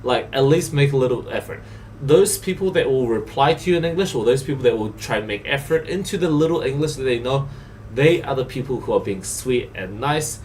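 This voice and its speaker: A man's voice: medium pitch, animated, a little fast, darkish tone. A man from South Korea talking casually and bluntly on a podcast, emphatic and repeating his points. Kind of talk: casual opinionated podcast talk. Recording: slightly distant mic, faint noise.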